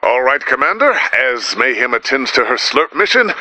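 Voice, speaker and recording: An actor voicing a fussy, exasperated base director in a video game, officious and wordy, with hesitant moments. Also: male voice, mid-range voice, very animated, normal speed, sharp tone, dry recording, exaggerated voice. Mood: diplomatic, formal. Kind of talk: animated video game mission dialogue.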